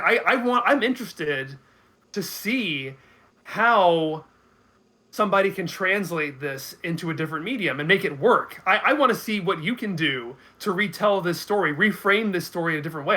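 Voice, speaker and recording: A man in a casual discussion as a guest commentator, haltingly repeating words as he works out his thoughts. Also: sits high, animated, pushed and bright. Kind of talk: casual film and game discussion.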